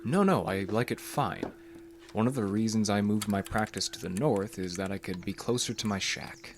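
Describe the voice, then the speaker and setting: A polite psychiatrist played by a man talks in a low, measured voice in an audio drama. His manner is mild, and clear background ambience runs behind him. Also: background noise, close to an everyday voice. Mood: polite, easygoing.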